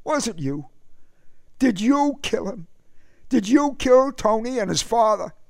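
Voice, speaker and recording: A young man, frantic and pleading, his voice pitched high above the reader's own and rising and falling sharply, as a male narrator puts him on. The delivery is urgent, with a gravelly edge under the strain, in a dry, close recording. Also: big pitch swings, unhurried, no echo, heavily acted. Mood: accusing.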